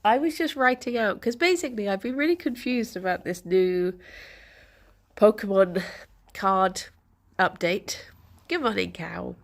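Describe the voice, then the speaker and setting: A young woman streamer with a congested, bunged-up voice, chatting softly with viewers and laughing often. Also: medium pitch, measured pace, vocal fry, long pauses. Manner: soft, chatty, laughs often, greets chat by name. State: congested, stuffy nose. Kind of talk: casual morning chat with viewers.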